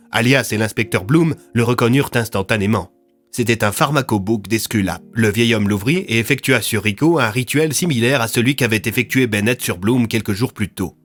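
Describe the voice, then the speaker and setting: A man narrating a scripted audio fiction serial as a dramatic storyteller. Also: medium pitch.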